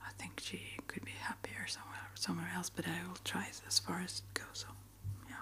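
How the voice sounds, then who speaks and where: A woman speaking in a gentle, hesitant, rambling way, as an ASMR creator chatting to her viewers. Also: lowish voice, completely flat delivery, fairly quick. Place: small upstairs apartment.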